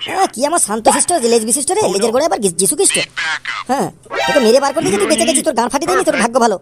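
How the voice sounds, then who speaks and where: Someone voicing a rapid-fire, high-pitched scolding cartoon dad. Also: very animated, over a noisy crowd. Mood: sarcastic scolding. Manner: sarcastic, hectoring. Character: scornful, threatening father scolding his little son. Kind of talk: comic cartoon dialogue.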